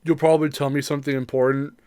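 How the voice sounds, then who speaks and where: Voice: medium pitch, level tone, fast, warm tone, smooth, dry recording, clean studio sound. A podcast co-host chatting casually about video games in a rambling, conversational storytelling way.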